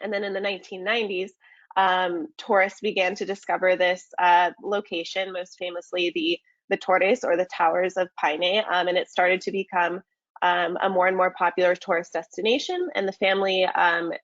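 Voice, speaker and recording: A woman's voice: fairly low pitch, fairly even intonation, normal speed, fairly bright tone, no room sound, highs cut off. A woman from the United States presenting a travel webinar in a friendly way, with many ums and uhs. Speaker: market manager presenting a webinar.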